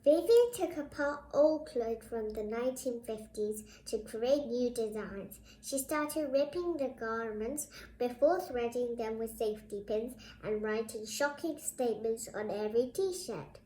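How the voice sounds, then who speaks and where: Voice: medium pitch, measured pace, hardly any pauses, dark tone, a little hiss or hum. A child host reading a picture book aloud, addressing viewers directly in a presenterly way. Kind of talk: read-aloud storybook narration with brief asides. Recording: roomy, echoing indoor sound.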